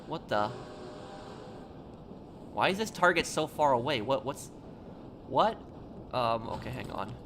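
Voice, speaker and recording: Halting American man streaming over clear noise. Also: mid-range voice, expressive, a bit bright. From New York, United States. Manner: halting and rambling, repeats and restarts phrases. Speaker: game livestreamer. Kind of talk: casual livestream chat while gaming.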